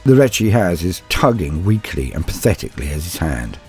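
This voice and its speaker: Casting: male dramatic narrator-host, low register, highly animated intonation, courtly phrasing, scripted delivery. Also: a little fast. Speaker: storyteller and channel host. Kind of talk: dramatic first-person story narration and scripted channel address. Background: background music.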